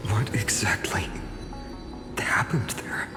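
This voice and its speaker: Lowish-voiced, wary male adventurer. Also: animated, takes it slowly, in a whisper, music in the background. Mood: uneasy. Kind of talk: serious video game voice acting.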